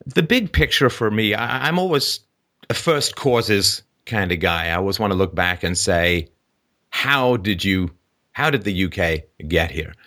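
A man's voice: on the low side, animated, a little slow, bright, forceful tone. A middle-aged man from England speaking as an interview host, giving expansive, emphatic explanations in a conversational political monologue. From born in Ireland, grew up in London, England.

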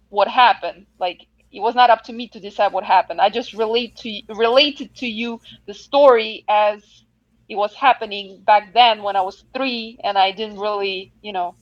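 A woman's voice: a little high, lo-fi sound. A female caller explaining herself hesitantly and a little defensively to a host. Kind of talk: call-in conversation.

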